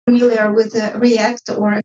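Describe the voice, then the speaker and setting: Hesitant non-native woman, high voice, distant muffled mic. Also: little pitch movement, a little slow, a little mellow. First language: not English. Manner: hesitant, tentative, apologetic. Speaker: audience member, junior UX designer. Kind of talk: informal spoken feedback and questions. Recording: muffled live event audio, distant mic.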